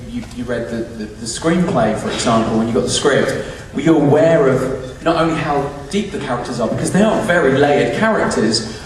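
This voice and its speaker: A chatty man with a fairly high voice moderates a film Q&A. He repeats words as he forms questions. The sound is heavily echoing and distant, with faint noise. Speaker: Q&A moderator on stage. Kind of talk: live film panel hosting. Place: large cinema auditorium, recorded from the audience. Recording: echoey cinema hall, distant mic, noisy.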